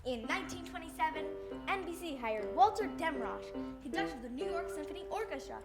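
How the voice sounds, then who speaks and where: Girl acting as high-voiced old-time radio hostess. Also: animated, a little fast, over loud music. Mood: bright, announcing. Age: young teenager. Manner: theatrical, earnest, presentational. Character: chipper young female host of an old-time radio show. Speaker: radio show co-host. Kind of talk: scripted 1940s radio show acting.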